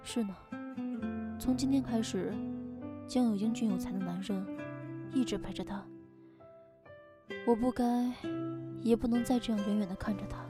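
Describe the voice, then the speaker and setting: A woman's voice: mid-range voice, normal speed, pauses often, close to an everyday voice. An actress playing a lovesick young woman in an audio drama, wistful and restrained. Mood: wistful, resigned. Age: young adult. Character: lovesick young woman, quietly pining for her friend. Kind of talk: modern Chinese audio drama acting. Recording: music behind the voice.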